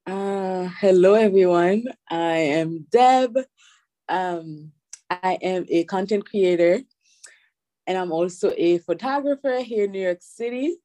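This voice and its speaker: A young woman with a Haitian accent, a podcast guest chatting casually and softly, laughing easily. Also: fairly low pitch, slow. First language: Haitian Creole. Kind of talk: casual interview chat.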